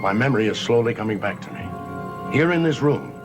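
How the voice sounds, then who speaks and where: A man acts out an aged, secretive grandfather from a gothic television soap. His mid-pitched voice is theatrical and portentous, each phrase measured, with clear dramatic music playing behind him. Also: animated, natural voice. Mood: grave, brooding. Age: older. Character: cryptic, haunted old grandfather with secrets.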